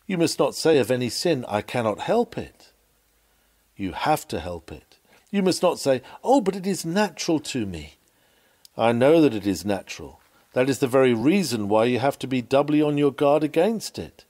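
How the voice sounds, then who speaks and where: A man reading an old sermon aloud in a measured, earnest, oratorical preaching delivery. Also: medium pitch, big pitch swings, a little mellow. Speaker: reader of a historical sermon.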